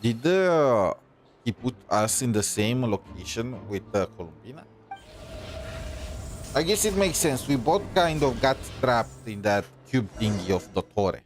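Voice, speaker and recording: A man's voice: medium pitch, sing-song, unhurried, over music. A man livestreaming a game, giving casual, musing commentary with some hesitation.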